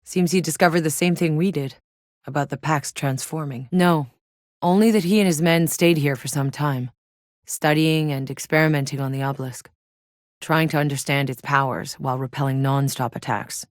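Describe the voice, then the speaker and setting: A woman's voice: deep voice, steady tone, moderate pace, dry recording, clean studio sound, lightly acted. A woman playing a tough, wry soldier scouting a hostile alien world in a video game, speaking terse, matter-of-fact scripted lines. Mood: matter-of-fact, focused.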